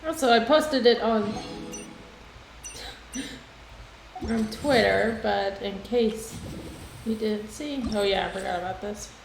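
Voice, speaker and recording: A woman's voice: mid-range voice, slow, vocal fry, long pauses. A woman chatting casually as she livestreams a video game, with game music behind her. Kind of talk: casual livestream gaming chat. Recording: echoey room, music behind.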